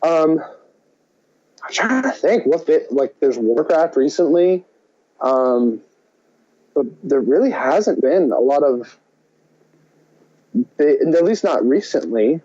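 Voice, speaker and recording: A man with a mellow, relaxed voice rambles through his opinions in casual podcast talk. The recording is a little dull and muffled. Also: fairly high pitch, takes it slowly, pauses often, no echo. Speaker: podcast co-host. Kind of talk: casual chat.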